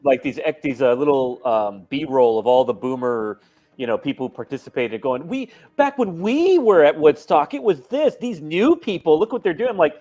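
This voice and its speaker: A man's voice: on the high side, very animated, moderate pace, warm tone. A man co-hosting a live talk show, ranting in a heated, casual way.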